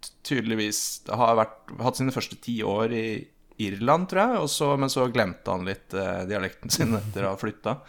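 A man chatting casually as a podcast co-host, telling things in a halting, jokey way. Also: lowish voice, animated. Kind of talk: casual chat.

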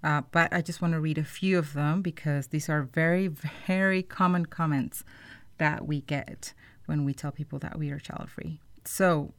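Low-pitched woman hosting a podcast, dry sound. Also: steady tone, normal speed, no room sound. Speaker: podcast host. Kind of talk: conversational explainer to listeners.

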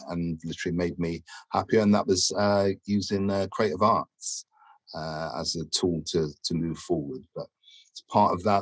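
A middle-aged English man being interviewed as a guest, answering in a halting way with many fillers. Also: low voice, no echo. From England. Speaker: guest lecturer and entrepreneur.

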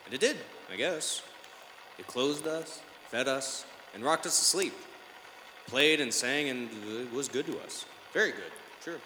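An actor playing a dazed, troubled father talking haltingly to his psychiatrist in a 1950s radio drama. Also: mid-range voice, animated, pushed and bright. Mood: dazed, wistful. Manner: halting, distraught, wistful. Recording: echoey old radio recording, noisy.

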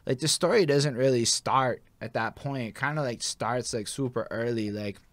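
A man's voice: mid-range voice, normal speed, fairly bright tone, no echo. A young man hosting a podcast interview, talking casually with a slangy, storytelling manner. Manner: laid-back, slangy, storytelling with lots of "like". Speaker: podcast host.

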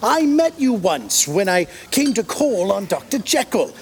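An actor in an audio drama playing a courteous, anxious old gentleman, creaky, polite and hesitant. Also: sits high, sing-song, unhurried, a little hiss or hum, heavily acted. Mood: worried.

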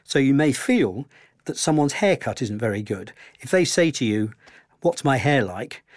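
A man speaking as a historian guest, giving measured, explanatory answers in an interview. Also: medium pitch, very animated, no room sound, studio quality.

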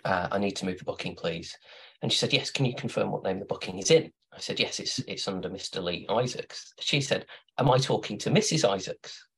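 A man with a low, husky voice hosting a relaxed podcast. He rambles through playful anecdotes, full of restarts and asides, in an easy, chatty way. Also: brisk, low-quality recording. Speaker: podcast host. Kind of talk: casual chat.

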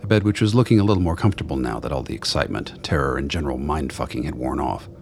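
A man delivers scripted narration for a radio play. His voice is extremely low and heavy with gravelly creak. A little noise is audible behind him. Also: animated, very fast, darkish tone.

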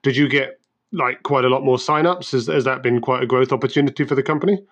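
A man hosts an interview, stumbling into questions and repeating words before he lands them. His voice is mid-pitched. The recording sounds slightly muffled. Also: steady tone, fast talker, fairly bright tone. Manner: hesitant, repeats words when asking questions. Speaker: interview host. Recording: dull sound.

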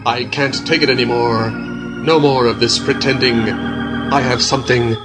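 A man speaking in a deliberate, melodramatic classic radio serial style. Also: mid-range voice, steady tone, over loud music, close to an everyday voice.

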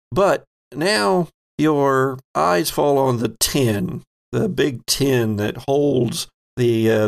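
A man with a gravelly voice narrating a tabletop game as game master, describing scenes playfully and off the cuff. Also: medium pitch. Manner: descriptive, playful storytelling with pop-culture asides. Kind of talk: improvised narration of a tabletop horror-comedy game.

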